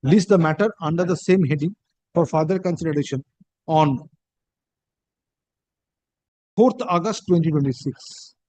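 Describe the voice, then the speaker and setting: High-pitched Indian male judge, halting delivery. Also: warm tone, no echo. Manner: halting, deliberate, repeats words. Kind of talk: dictating a court order and remarking from the bench. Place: courtroom. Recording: dry live court hearing feed.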